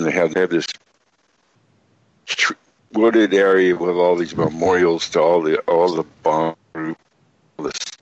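Deep voiced guy on a muffled call, laid back, just chatting and telling stories. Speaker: guest caller. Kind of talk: casual chat. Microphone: remote call audio.

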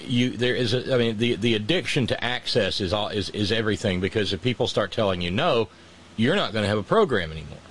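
A man's voice: fairly low pitch, animated, quick pace, fairly bright tone, slight background noise. A man hosting a casual political chat, sarcastic and mocking, often restarting his sentences. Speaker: podcast host.

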